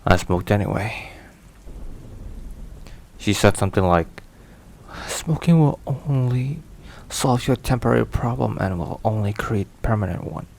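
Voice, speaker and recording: A man playing a weary, caring mafia boss boyfriend in an ASMR roleplay, hushed and sighing between phrases. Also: fairly low pitch, lively intonation, measured pace, gravelly, exaggerated voice. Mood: wry, reflective.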